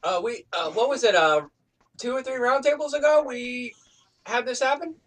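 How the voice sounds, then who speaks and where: A man's voice: very high voice, normal speed, fairly bright tone. A man chatting casually in a group, blunt and slangy with plenty of swearing. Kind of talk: casual online group chat. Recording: distant microphone.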